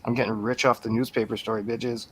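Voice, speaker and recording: A man's voice: mid-range voice, flat delivery, quick pace, fairly bright tone, poor audio. A man chatting casually as a guest reviewer on a movie radio show, with dry, joking remarks. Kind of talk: casual chat, movie banter. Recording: clear background noise, room ambience.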